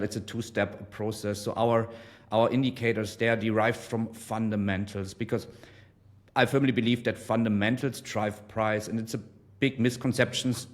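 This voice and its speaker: A man of forty from Germany, explaining his trading as an interview guest, measured and full of ums. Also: mid-range voice. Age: 40s. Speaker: guest trader. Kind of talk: casual interview.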